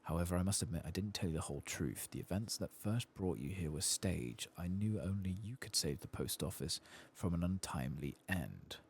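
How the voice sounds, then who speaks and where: A soft-spoken man giving low-key ASMR commentary as he plays a game, muttering to himself. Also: expressive, a little fast, few pauses, breathy edge. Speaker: gaming video host.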